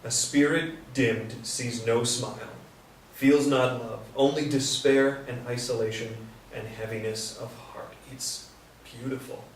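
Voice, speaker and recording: A man's voice: mid-range voice, steady tone, a little slow, lightly acted. A man playing a sensitive, earnest carpenter in a live reading of a stage play. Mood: reverent. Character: Thomas, sensitive, earnest male carpenter, defends others. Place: echoey indoor stage or hall. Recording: very echoey room, distant mic, faint hiss.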